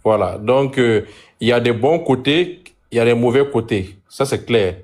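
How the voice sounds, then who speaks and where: A man's voice: medium pitch, moderate pace, darkish tone. Hesitant man with many fillers. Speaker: guest speaker on a live stream. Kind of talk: political discussion.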